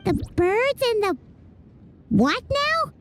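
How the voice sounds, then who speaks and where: A woman voicing a tiny, chatty, excitable childlike fairy companion in a video game, with a squeaky, perky delivery. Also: drawn-out words, heavily acted. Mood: curious, cheerful. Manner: perky, exclamatory, refers to self in third person. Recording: music playing behind the voice.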